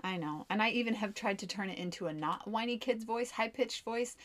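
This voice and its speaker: A woman's voice: mid-range voice, a little fast. A woman co-hosting a podcast, a mom giving upbeat, encouraging advice in casual chat. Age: adult mom. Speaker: podcast co-host.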